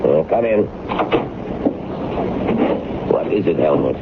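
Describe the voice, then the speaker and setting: Stubborn, very low-voiced boss, tinny radio. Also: male voice, animated, takes it slowly, exaggerated voice. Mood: stubborn, dismissive. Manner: dismissive, insistent. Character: stubborn, dismissive male production boss, later delirious. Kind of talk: old-time radio drama acting. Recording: noisy, tinny old radio broadcast.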